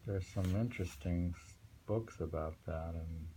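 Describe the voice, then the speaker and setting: A middle-aged man reading poetry and commenting on it casually, musing in an unhurried way and repeating phrases. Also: deep voice, warm tone, poor audio. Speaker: host reading and discussing poetry. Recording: home recording with faint background noise.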